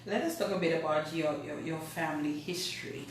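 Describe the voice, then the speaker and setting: A woman's voice: deep voice, steady tone, brisk. A woman, hosting a radio interview in a conversational style. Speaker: radio show host. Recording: echoey room, distant mic, faint noise.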